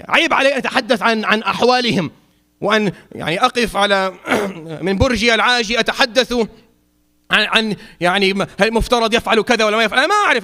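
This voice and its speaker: A middle-aged man from Syria, giving a Friday sermon as an imam, impassioned at times and dropping low and wry at others. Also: bright, forceful tone. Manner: impassioned, rising to emphatic peaks then dropping low and wry.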